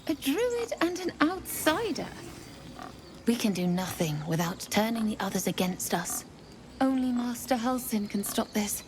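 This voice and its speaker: A woman's voice: a little high, very animated, unhurried, noisy background. Guarded, worried druid woman. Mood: grave, fearful. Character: worried druid woman fearing for her people. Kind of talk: video game voice acting.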